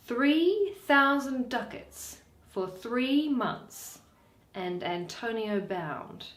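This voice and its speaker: Woman as bitter Shakespearean moneylender, shrewd, deliberate, slow-paced, widely swooping pitch. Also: lively intonation, a bit bright, heavily acted. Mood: calculating. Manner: deliberate, drawn-out, weighing each phrase. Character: shrewd, bitter male moneylender, brooding and grudging. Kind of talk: dramatic Shakespeare reading. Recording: roomy echo.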